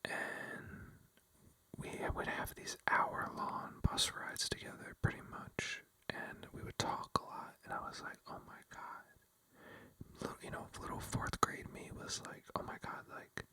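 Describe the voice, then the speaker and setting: A vlogger telling a rambling, casual story in a breathy ASMR whisper, full of fillers like "like" and "you know.". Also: unhurried, bright, forceful tone. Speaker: vlogger addressing viewers.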